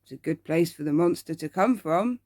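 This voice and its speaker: A woman voicing an amused, kindly young man who asks gentle, indulgent questions in an ASMR bedtime story. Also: low-pitched, expressive, moderate pace, no room sound, clean studio sound. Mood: curious.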